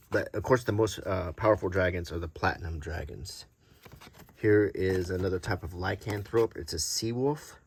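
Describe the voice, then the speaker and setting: A man hosting an unscripted, ASMR-like show-and-tell in a halting, casual way. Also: low-pitched, creaky, breathy edge. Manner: halting, unscripted, hesitant delivery. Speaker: host.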